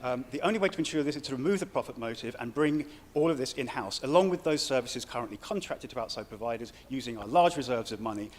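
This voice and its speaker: A man's voice: medium pitch, expressive, long reverb tail. A man councillor delivers a prepared statement at a council meeting. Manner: rapid, reading a prepared statement.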